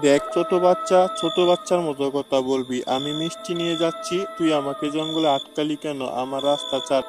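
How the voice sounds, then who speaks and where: A man's voice: on the high side, fairly even intonation, fairly quick, close to an everyday voice. A man voicing a desperate poor farmer. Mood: defiant. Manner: pleading and lamenting. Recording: background music.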